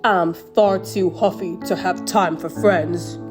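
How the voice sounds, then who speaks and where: A woman voicing a grumpy, snarly old male buffalo in a storybook, chanting gruffly. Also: lowish voice, steady tone, takes it slowly, music in the background. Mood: snappish. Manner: gruff, huffy, sing-song chant.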